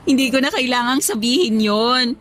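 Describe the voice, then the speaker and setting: Playful, guarded young sweetheart, music behind. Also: female voice, on the high side, a bit bright. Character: playful, guarded newly single woman, old sweetheart. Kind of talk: romantic radio drama acting, conversational.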